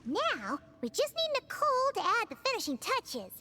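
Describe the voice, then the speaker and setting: Squeaky, excitable little fairy girl. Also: normal speed, very noisy, full character voice. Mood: helpful. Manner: eager, exclamatory. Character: small, excitable childlike fairy companion girl. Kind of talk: video game voice acting.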